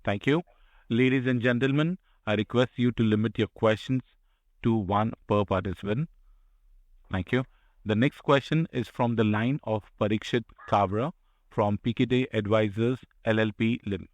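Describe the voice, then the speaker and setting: A man's voice: on the low side, normal speed, no room sound. Operator guy reading out next question, formal, dry sound. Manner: formal, scripted announcements. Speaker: conference call operator. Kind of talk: formal call moderation.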